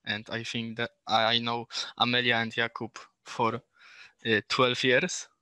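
Young polish guy, shy answers, super bright dry voice. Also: mid-range voice, monotone, measured pace, clear, resonant tone, dry recording. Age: young adult. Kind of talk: casual video call chat.

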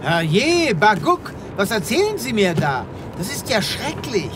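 An actor playing a pompous, formal and easily flustered space veterinarian in a comic sci-fi radio play. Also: male voice, high-pitched, sing-song, a little slow, over music. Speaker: lead actor in an audio drama.

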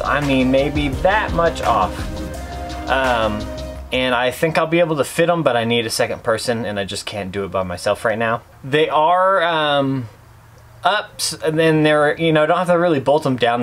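A young man talking casually and a bit haltingly to camera, explaining a DIY job as a vlogger. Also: medium pitch. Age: young adult. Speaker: DIY bus conversion vlogger.

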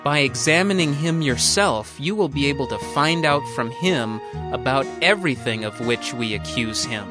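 An actor playing a flattering, accusing spokesman addressing a governor in a slow, unctuous, formal manner. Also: mid-range voice, music in the background, close to an everyday voice. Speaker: actor in an audio Bible drama. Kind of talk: formal dramatized oratory.

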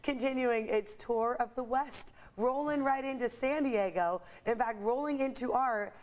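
A woman's voice: a little high, measured pace. A woman hosting a TV morning show segment, chatting in a bubbly way and laughing often in a studio. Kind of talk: upbeat studio interview. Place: TV studio set.

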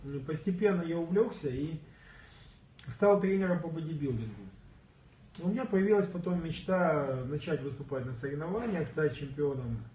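Man, bodybuilding coach, a bit sick with a cough, earnest storyteller, higher voice, roomy and distant. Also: expressive, moderate pace, a little mellow, a little hiss or hum. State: slightly ill, coughing. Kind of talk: casual livestream chat. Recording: echoey room, far from mic, dull.

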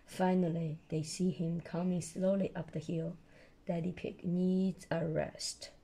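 A woman storyteller with a hoarse voice reading a children's picture book aloud and explaining it simply to a child. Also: fairly low pitch, flat delivery, unhurried, dark tone, low-quality recording. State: hoarse, losing her voice.

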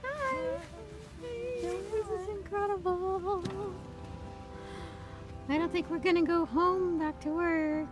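An excitable young woman exclaiming and chatting while filming outdoors on a sailboat. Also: extremely high pitch, slow, long pauses. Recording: loud music over the audio.

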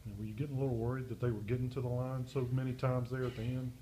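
A man's voice: mid-range voice, flat delivery, very fast, background noise. A man reporter asking casual, chatty questions at a press conference.

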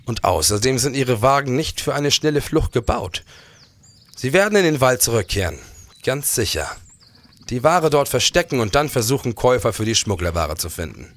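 An actor playing a resolute, shrewd nineteenth-century police detective in a crime audio drama. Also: male voice, mid-range voice, big pitch swings, a bit bright. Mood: assured. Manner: assured, deliberate.

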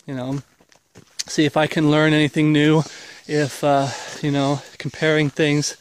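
A man in his forties with a slightly high voice talks into a handheld camera held at arm's length as he walks an outdoor trail. He gives a loose, rambling update in an earnest preaching tone while getting over an illness. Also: monotone, unhurried, clean tone, dry recording, poor audio. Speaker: ministry leader. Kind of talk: walk-and-talk video update. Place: outdoors on a wooded path.

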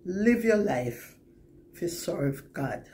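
An older woman with a Jamaican accent reads scripture to camera in a measured, deliberate way. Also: fairly low pitch, expressive, dark tone, slight background noise. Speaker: scripture reader. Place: plain indoor room, seated.

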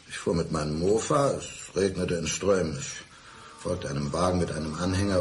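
Deep-voiced brooding blind man. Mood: bitter. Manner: probing, brooding, deliberate. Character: lonely, bitter blind divorced man, insistent and brooding. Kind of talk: German radio crime drama acting.

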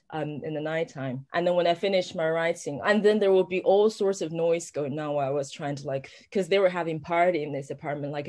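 A woman's voice: low-pitched, mellow. Chatty woman. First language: Chinese. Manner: rambling, many fillers and restarts, laughs often. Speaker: author guest. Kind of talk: casual conversation.

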